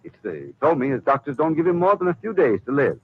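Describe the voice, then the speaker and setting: Casting: earnest, troubled gentleman, rough-edged and expressive, muffled vintage radio drama. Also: fairly high pitch, fast talker, exaggerated voice. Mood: concerned. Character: earnest, debt-ridden gentleman, worried but polite. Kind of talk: old-time radio drama acting. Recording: dull old radio recording.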